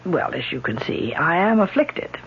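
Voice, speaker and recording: An actress in an old radio drama playing a proud, embittered, skeptical woman, guarded and quick to argue. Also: lowish voice, very animated. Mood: guarded, probing. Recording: dull old radio recording with hiss.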